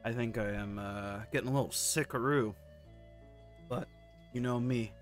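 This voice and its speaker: A man with a hoarse, sore throat hosting a gaming video, casually reading forum posts aloud and giving his candid, laid-back take. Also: medium pitch, moderate pace, soft background music.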